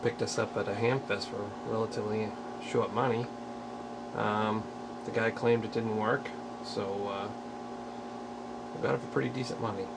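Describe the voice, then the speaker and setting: Man, lowish creaky voice, casual hobbyist walkthrough, hesitant and rambling, matter-of-fact. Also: moderate pace. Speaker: radio hobbyist showing a restoration project. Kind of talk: casual unscripted show-and-tell. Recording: loud steady background hum and noise.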